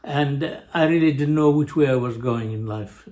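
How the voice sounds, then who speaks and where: Older guy w/ arabic accent, mid-pitched voice, slow halting storytelling, lots of uhs. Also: dark tone. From Jordan. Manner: halting, many uhs and restarts, chuckles at own story. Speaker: family show guest, father. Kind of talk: storytelling, casual family chat.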